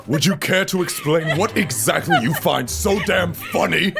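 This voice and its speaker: A man's voice: on the high side, lively intonation, gravelly, music in the background. An actor playing a proud, hot-tempered but tender king of evil in a theatrical comic dub. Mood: furious. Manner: theatrical, slow and deliberate. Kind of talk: dramatic comic-dub voice acting.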